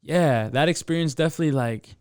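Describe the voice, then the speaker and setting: A man's voice: on the high side, a little fast, a little mellow, dry recording, clean studio sound. A young man from the United States chatting casually as an interview guest, laid-back and slangy, dropping "bro" into his sentences.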